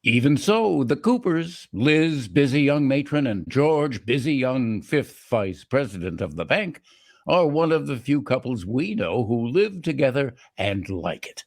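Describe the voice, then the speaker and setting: A man's voice: mid-range voice, big pitch swings, a little slow, a little mellow. A man announcing and narrating in theatrical old-time radio style, reading from a script.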